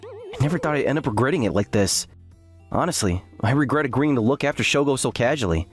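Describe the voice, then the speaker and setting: A man's voice: mid-range voice, sing-song, quick pace, faint music behind, close to an everyday voice. A man playing an earnest, self-deprecating graduate student who narrates his story in an anime-style dub. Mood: regretful. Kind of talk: first-person scripted narration with acted dialogue.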